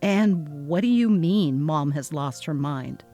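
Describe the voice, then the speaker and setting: A woman's voice: low-pitched, animated, slow pace, dark tone, soft background music, pitched below the performer's own voice, full character voice. A woman voicing a worried, conflict-avoidant young husband in a dramatic reading of a text-message story. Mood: placating.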